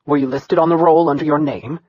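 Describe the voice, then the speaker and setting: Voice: mid-range voice, fairly even intonation, fairly quick, a little mellow, dry recording, highs cut off, lightly acted. A narrator voicing a middle-aged emperor who speaks in a shaken, apologetic way. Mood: remorseful.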